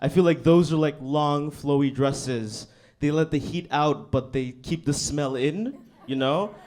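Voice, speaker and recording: A man's voice: on the high side, measured pace. Laid-back young male stand-up comic. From Bangladesh. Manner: laid-back, deadpan, frequent "you know what I mean". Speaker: stand-up comedian. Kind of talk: stand-up set. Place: comedy club stage.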